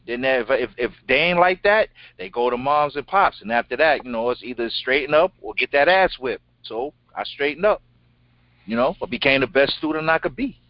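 Deep-voiced man, slightly dull dry recording. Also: no echo. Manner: emphatic, slangy, repeats phrases. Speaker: show co-host. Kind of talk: casual, unscripted conversation.

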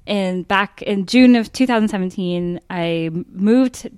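A woman from Miami, a guest introducing herself casually. She talks in a friendly, rambling way with a few fillers. Her voice is smooth and clear, recorded dry and close. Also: mid-range voice, takes it slowly, darkish tone, no room sound. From Miami, Florida. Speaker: guest, listener.

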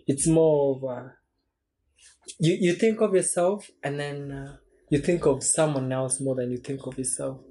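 A young man in his twenties, a podcast guest talking through his thoughts in a relaxed, reflective way with frequent restarts. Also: mid-range voice, measured pace. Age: 20s. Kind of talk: casual chat.

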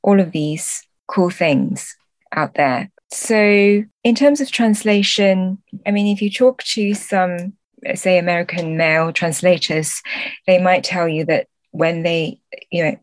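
A woman's voice: lowish voice, slow pace, no room sound. A middle-aged woman from China who grew up in the UK, giving thoughtful, explanatory answers as a translator in an interview. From born in Guangzhou, China, moved to the UK at a young age. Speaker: podcast guest, literary translator.

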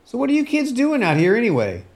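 Man playing folksy rancher, animated pitch. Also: fast, heavily acted. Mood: curious, amazed. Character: kindly, folksy male rancher neighbor. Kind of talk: radio play acting.

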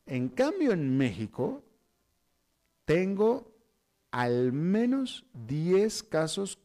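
Male news host, slightly high voice, chatty and opinionated, lots of fillers. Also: very animated, drawn-out words, mellow. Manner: conversational and opinionated, with frequent fillers. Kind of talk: news commentary. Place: Costa Rica.

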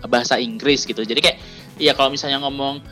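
Young male co-host, bright voice, loose casual banter, faint music under it. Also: mid-range voice, steady tone, quick pace. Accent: Indonesian. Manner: chatty, laughs often, many fillers. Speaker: podcast co-host. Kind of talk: casual chat.

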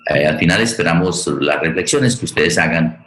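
A man's voice: low voice, normal speed, fairly bright tone. A man with a Colombian accent hosting an online forum, speaking unscripted and searching for his words. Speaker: host of an online radio theatre forum. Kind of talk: unscripted spoken introduction to a live programme. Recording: roomy, echoing sound.

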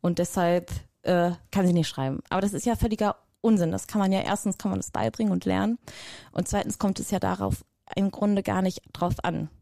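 A woman's voice: mid-range voice, steady tone, brisk, gravelly, dark tone, dry recording, studio quality. A woman from Germany, a guest in an interview, talking casually and emphatically, often repeating words for stress. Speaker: guest, personnel developer.

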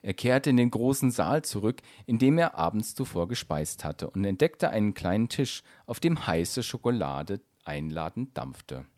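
A man reading a fairy tale aloud as an expressive, scripted storybook narration. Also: fairly low pitch, moderate pace, hardly any pauses, no echo, clean studio sound.

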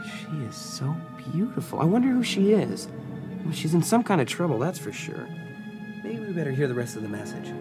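Eager young farm boy, very animated. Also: a little high, brisk, loud music behind the voice. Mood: wondering, earnest. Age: young adult. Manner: earnest, wondering. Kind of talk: radio drama acting.

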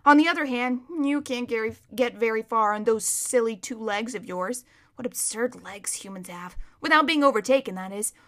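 A woman's voice: on the high side, moderate pace, a bit bright, lightly acted. A woman reading a storybook as a proud, bossy but kindly male war horse, with an emphatic, lecturing manner. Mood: persuasive. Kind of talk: children's storybook character reading.

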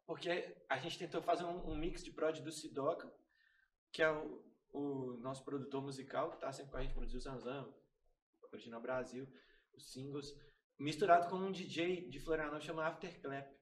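A man's voice: fairly high pitch, steady tone, moderate pace, far from the mic, a little hiss or hum. A man from Brazil, a band member, telling stories in a laid-back, slangy way in a casual podcast interview. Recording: echoey room, off-mic.

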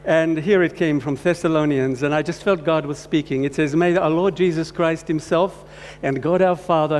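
Man preaching in an echoing church. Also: a little high. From Croatia. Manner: halting, warm-hearted, many restarts. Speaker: guest speaker. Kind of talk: personal testimony sermon. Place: church hall. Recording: long hall reverb, faint hum.

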